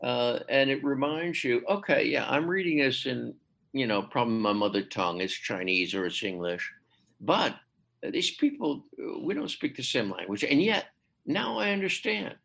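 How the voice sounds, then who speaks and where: A man speaking as a literary translator in a podcast interview, hesitant with many fillers and restarts, his pitch climbing sharply when he stresses a point. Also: expressive, moderate pace, gravelly, a bit bright. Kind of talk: conversational interview.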